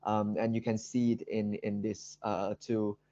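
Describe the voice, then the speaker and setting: A man with a Malaysian accent giving an academic seminar presentation, speaking haltingly with very frequent ums and repeated words. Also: lowish voice, little pitch movement, dark tone. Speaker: guest lecturer. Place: online video call seminar. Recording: dull, roomy video-call audio.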